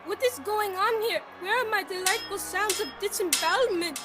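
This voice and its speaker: A woman's voice: extremely high pitch, normal speed. A woman playing a whiny, bloodthirsty, power-mad male Roman emperor in radio theatre. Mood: petulant. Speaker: student radio theatre actor. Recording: loud music behind the voice.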